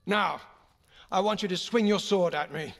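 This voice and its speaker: An actor playing a royal uncle and sword instructor in an audio game, firm, formal and encouraging. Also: male voice, high voice, normal speed, a bit bright. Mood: stern.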